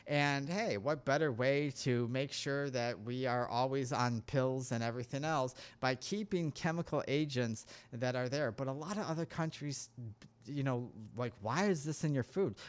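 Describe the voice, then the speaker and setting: A man's voice: medium pitch, normal speed. A man giving a casual talk-show monologue on health, earnest and preachy, rambling through his points. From United States.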